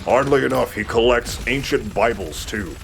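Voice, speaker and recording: A man's voice: mid-range voice, lively intonation, normal speed, faint music behind. A man giving casual, dryly joking commentary on a video game, with informal wording and some mild swearing. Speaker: let's play host. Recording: clear background noise.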